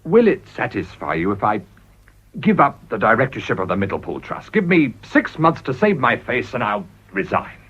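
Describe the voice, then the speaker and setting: A man's voice: mid-range voice, wildly swooping pitch, normal speed, fairly bright tone, husky, heavily acted. An actor playing a ruthless, desperate middle-aged businessman in a radio drama, with a British accent and a blustering, wheedling delivery. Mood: pleading. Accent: British English. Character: ruthless, desperate, smooth-talking male businessman and political climber. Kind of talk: radio play acting. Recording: dull, muffled old radio recording.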